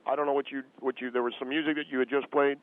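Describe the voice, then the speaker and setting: A man voicing a defensive, humorless radio caller who argues in a halting, earnest, indignant way over a telephone line. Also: medium pitch, little pitch movement. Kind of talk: comedic phone-in radio act. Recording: tinny, band-limited phone line.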